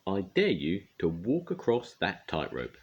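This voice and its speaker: An older man reading a storybook aloud, voicing a mischievous little troublemaker girl who shouts and taunts. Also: deep voice, wildly swooping pitch, unhurried, husky, muffled recording, pitched above the performer's own voice, exaggerated voice.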